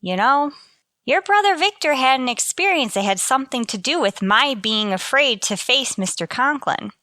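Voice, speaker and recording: An actress playing a wry, unlucky high school teacher in a scripted radio sitcom, with dry, self-deprecating wisecracks. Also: female voice, expressive, moderate pace, bright, forceful tone, no room sound. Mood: dry, exasperated. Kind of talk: scripted radio sitcom acting with first-person narration.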